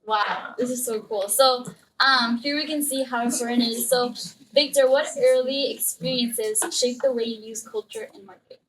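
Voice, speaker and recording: A young woman from Ecuador hosts a live interview in an upbeat, friendly way. Her voice sits a little high. She sounds distant from the mic, with faint noise in the room. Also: fairly even intonation, moderate pace, a bit bright. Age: young adult. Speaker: student podcast host. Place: room with a live student audience.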